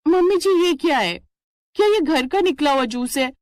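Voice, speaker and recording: A woman voicing a spoiled young city daughter-in-law, whiny, haughty and demanding, in an animated family story. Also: sits high, moderate pace, no room sound, studio quality, voice pushed up. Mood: disgusted, complaining.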